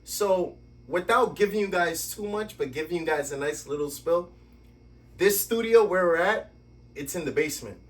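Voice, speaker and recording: A man hosting a podcast from a basement home studio, giving lively, playful introductions and questions. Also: high-pitched, moderate pace, distant mic. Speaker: podcast host. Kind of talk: podcast interview.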